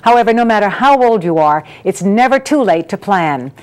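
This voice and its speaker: Female co-host, medium-pitched voice, reading scripted segment introductions for a magazine show. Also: lively intonation, moderate pace, a little mellow.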